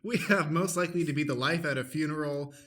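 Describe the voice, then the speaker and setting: A man's voice: on the high side, fast talker, fairly bright tone. A man hosting a show as stage manager, introducing and explaining it to listeners in an announcer-like way. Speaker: podcast host and stage manager. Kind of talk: scripted-sounding show introduction, addressing listeners.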